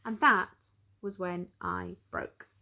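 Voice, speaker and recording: A woman's voice: lowish voice, wildly swooping pitch, slow pace, pushed and bright. A woman storyteller reading a children's picture book aloud, expressively, with dramatic emphasis on key words.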